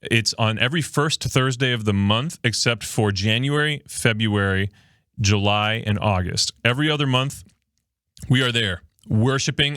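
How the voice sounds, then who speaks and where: Bright-voiced joking male podcast host. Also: medium pitch, dry recording, clean studio sound. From Miami, Florida. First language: Spanish. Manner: chatty, joking, reads viewer comments aloud. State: short on sleep. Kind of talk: casual livestream chat. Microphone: studio microphone.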